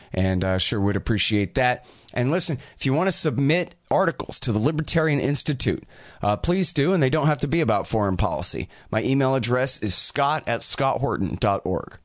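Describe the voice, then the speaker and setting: A man hosting a talk show, chatting loosely with fillers and quick asides. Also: medium pitch, no echo, studio quality. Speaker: podcast host. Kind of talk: casual interview talk.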